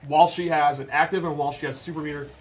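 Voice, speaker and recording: A man's voice: fairly high pitch, steady tone, rapid-fire, a little hiss or hum. A man presenting gameplay in casual, unscripted commentary, explaining things with enthusiasm and emphasis.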